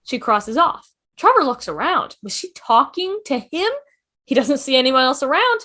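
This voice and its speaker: Bright, high-pitched woman narrating. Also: very animated, normal speed. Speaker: stage directions narrator in a table read. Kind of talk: scripted narration. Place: video call table read.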